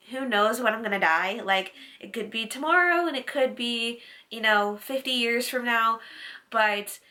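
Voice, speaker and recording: A woman's voice: fairly high pitch, bright, forceful tone. A woman in her late twenties, a book vlogger chatting casually to camera, with lots of "like" fillers. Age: late 20s.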